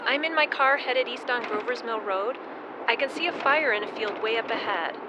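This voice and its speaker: A woman playing an excited radio journalist phoning in a live report from the roadside in a radio drama. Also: fairly high pitch, normal speed, natural voice. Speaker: on-scene radio caller. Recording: noisy outdoor phone call with vehicle ambience.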